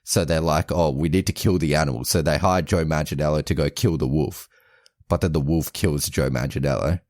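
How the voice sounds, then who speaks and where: A man's voice: rumbling low, fairly even intonation, brisk, darkish tone, no echo, clean studio sound. A man co-hosting a podcast, casually retelling a movie plot with lots of restarts, self-corrections and amused laughs. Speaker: podcast co-host.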